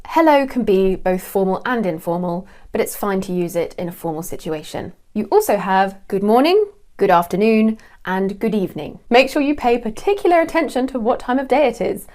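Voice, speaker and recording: A young woman from England with a British English accent, teaching an online English lesson with a clear, deliberate delivery. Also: mid-range voice, animated, darkish tone.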